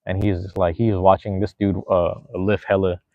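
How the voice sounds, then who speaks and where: A man in his thirties talks in a loose, rambling way, full of slang and casual swearing. His voice sits fairly low. The recording is dry and dull, with a lo-fi sound. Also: little pitch movement, normal speed, no room sound. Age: 30s. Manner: rambling, laid-back, slangy, swears freely. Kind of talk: casual chat.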